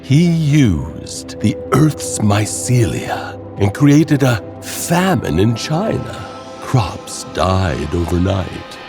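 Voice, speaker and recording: A man narrating a scripted horror story theatrically, pausing between short phrases. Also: mid-range voice. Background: loud music.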